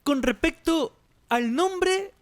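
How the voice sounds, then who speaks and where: A woman's voice: very high voice, expressive, takes it slowly. A woman co-hosting a radio show in conversational banter. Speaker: co-host.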